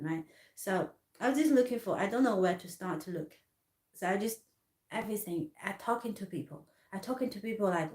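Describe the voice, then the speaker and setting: Woman from hong kong, thirties, low-pitched voice, halting english, echoey room. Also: darkish tone. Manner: halting, many restarts and fillers, non-native grammar. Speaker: artist vlogger. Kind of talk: casual personal storytelling.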